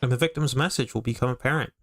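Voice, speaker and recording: A man's voice: medium pitch, rapid-fire, fairly bright tone, a little hiss or hum, lightly acted. A man voicing an earnest, determined defense attorney in a fan dub of a courtroom game. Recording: music under the voice.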